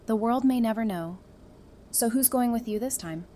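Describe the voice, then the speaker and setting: A woman's voice: on the high side, normal speed, dark tone, a little hiss or hum, natural voice. A woman playing an affectionate, playful survivor in an audio drama, casual and teasing in her delivery.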